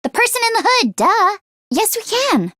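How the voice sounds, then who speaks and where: A woman voicing a nervous, expressive teenage girl fighter in an English video game, with casual youthful phrasing. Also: extremely high pitch, moderate pace, exaggerated voice. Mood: puzzled, casual.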